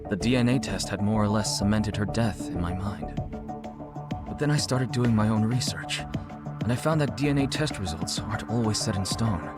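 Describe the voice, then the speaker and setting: Guy voicing a smooth-mannered middle-aged businessman in a game, lowish voice, formal and measured, loud music behind. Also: natural voice. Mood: earnest, hopeful. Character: polished, courteous male CEO and grieving widower. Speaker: company executive, client. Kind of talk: English-dubbed video game drama dialogue.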